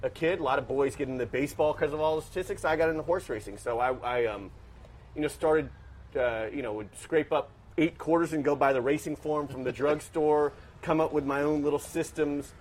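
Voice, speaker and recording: A man's voice: on the high side, brisk, darkish tone. A man of fifty who grew up in Washington, D.C., a professor and guest, talking through his background in a thoughtful, analytical way with many restarts. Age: 50s. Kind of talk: casual interview storytelling.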